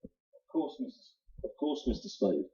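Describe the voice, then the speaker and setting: An actor in a noir radio play, playing a fussy, nervous, spiteful crook who talks in a prissy, precise way. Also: male voice, moderate pace, dark tone, lightly acted. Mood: fussy, needling. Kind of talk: staged radio play acting, 1940s noir. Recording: roomy, dull live stage recording.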